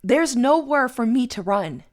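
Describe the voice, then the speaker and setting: A woman's voice: on the high side, expressive, measured pace. An actress playing a defiant, frightened young woman on the run in an audio drama, dramatic and emphatic. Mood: disgusted, defiant.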